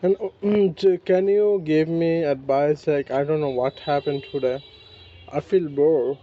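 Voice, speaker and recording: A man in his twenties practicing conversation, speaking in halting, broken phrases. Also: fairly high pitch. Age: 20s. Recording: muffled with faint background noise.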